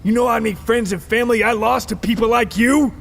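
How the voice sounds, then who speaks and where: A man's voice: very high-pitched, fairly even intonation, quick pace, creaky, a little hiss or hum, pitched above the performer's own voice. A man voicing a menacing, aggrieved giant spider crime boss who makes threatening speeches in an audio story. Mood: bitter, aggrieved.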